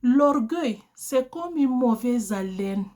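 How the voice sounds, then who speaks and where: A woman's voice: on the high side, animated, takes it slowly, dark tone. A woman whose first language is Portuguese, preaching a sermon in an earnest, exhorting way, addressing her listeners directly.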